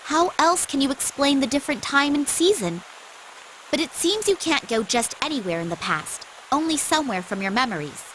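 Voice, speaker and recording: An earnest girlish android from an anime game. Her voice is high and very animated, with a clear hiss behind it. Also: moderate pace, poor audio. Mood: explaining. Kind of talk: English anime game dub.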